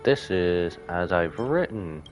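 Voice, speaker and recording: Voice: deep voice, lively intonation, slow pace, faint music behind. A streamer casually reacting to a game, musing aloud in a puzzled way. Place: livestream, game music behind.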